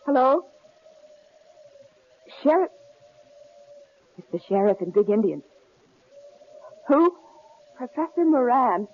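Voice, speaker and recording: Scared young wife, high lively voice, tremulous and pleading, tinny lo-fi radio sound. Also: female voice, takes it slowly, long pauses, full character voice. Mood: anxious. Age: young adult. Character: frightened young wife trapped in a snowbound cabin. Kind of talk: 1950s-70s radio drama acting. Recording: tinny, lo-fi old radio recording with faint hiss.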